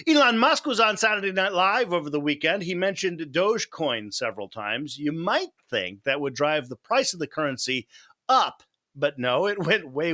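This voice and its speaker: Male news anchor, bright forceful voice, slightly high pitch, broadcast delivery.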